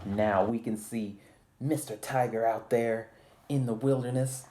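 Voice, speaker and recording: A man's voice: mid-range voice, fairly even intonation, measured pace, warm tone, a little hiss or hum. A man reading a picture book aloud to children with a polite, theatrical delivery and chatty asides. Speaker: library storytime presenter.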